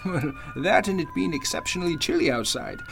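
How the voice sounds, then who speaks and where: A man's voice: a little high, expressive, fairly quick, creaky, fairly bright tone, soft background music. A man playing a courteous, slightly forgetful elderly judge in a radio drama, speaking in a hesitant, courtly way. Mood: genial, courtly. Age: older. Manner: hesitant, courtly, chuckling speech. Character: genial elderly judge, courteous, mildly forgetful old gentleman. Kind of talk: golden-age radio drama acting.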